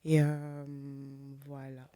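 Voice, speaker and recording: A woman's voice: low-pitched, level tone, very slow, dark tone, no echo, studio quality. A woman, giving a personal testimony in a reflective, hesitant way, often correcting herself. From Belgium. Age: 20s. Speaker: guest, law student giving testimony.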